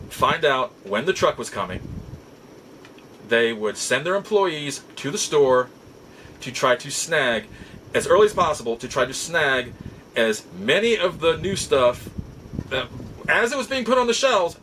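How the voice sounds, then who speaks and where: A middle-aged man telling stories casually as a host, halting and often backtracking to repeat his phrases. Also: fairly high pitch, a bit bright, a little hiss or hum, poor audio. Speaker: podcast host and collector. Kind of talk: casual rambling storytelling.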